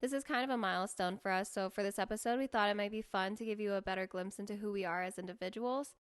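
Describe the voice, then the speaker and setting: Twenties female co-host, smooth clear voice, relaxed chatty delivery. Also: medium pitch, quick pace. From Colorado, USA. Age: 20s. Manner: relaxed, chatty, laughs between words. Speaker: podcast co-host. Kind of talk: casual chat.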